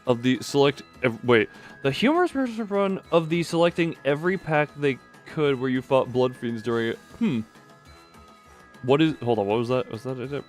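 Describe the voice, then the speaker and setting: A male game streamer with a middling pitch reads story text aloud over game music. He corrects himself as he goes and drops in casual commentary. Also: expressive, normal speed. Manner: stumbles and self-corrects while reading aloud.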